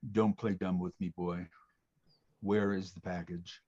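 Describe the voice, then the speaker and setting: Man acting a deep, worn, menacing captor. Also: flat delivery, a little mellow, lo-fi sound. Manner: slow, cryptic, threatening. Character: menacing, wise old male captor lurking in shadow. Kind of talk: audio drama acting. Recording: clearly noisy, ticking clock behind.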